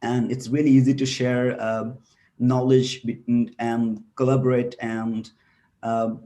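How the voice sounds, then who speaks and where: Man from Bangladesh, academic talk, dark mellow voice, hesitant, fillers. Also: medium pitch, level tone, slow pace. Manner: hesitant, many filler sounds. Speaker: webinar presenter, researcher. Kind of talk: academic presentation. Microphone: computer mic on a video call.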